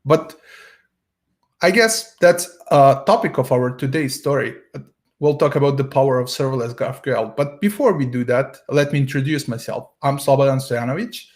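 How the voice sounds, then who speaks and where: A man's voice: mid-range voice, normal speed. A man from Serbia, an English speaker, giving a conference talk over a video call in a storytelling way with frequent hesitations. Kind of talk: tech conference presentation.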